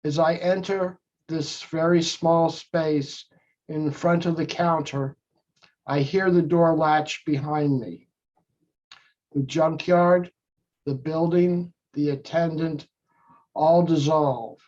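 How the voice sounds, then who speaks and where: Male meditation guide, very unhurried, measured phrasing with long gaps, dull recording. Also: a little high, fairly even intonation, a little mellow. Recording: dull lo-fi audio.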